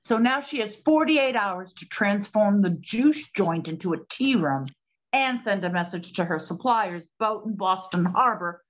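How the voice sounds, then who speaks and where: A woman's voice: medium pitch, expressive, unhurried, highs cut off, lightly acted. An actress playing a blunt, exasperated, wisecracking woman of the 1920s with sardonic, slangy speech in a period comedy. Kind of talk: period comedy radio-play acting.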